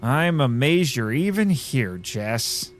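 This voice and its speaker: A man playing a sardonic crash survivor in an audio drama, narrating his own story with wry, theatrical, deliberate delivery. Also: medium pitch, lively intonation, in a large hall. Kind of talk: first-person audio drama narration and dialogue.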